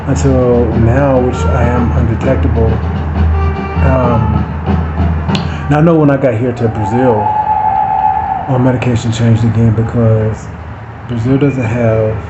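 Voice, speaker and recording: A man's voice: mid-range voice, fairly even intonation, unhurried, pauses often. Man in his forties, rambling personal story. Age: 40s. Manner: rambling, self-correcting, acts out remembered conversations. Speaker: vlogger. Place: room with an open window, abroad. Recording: roomy, dull sound with loud background noise. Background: music and street traffic through the window.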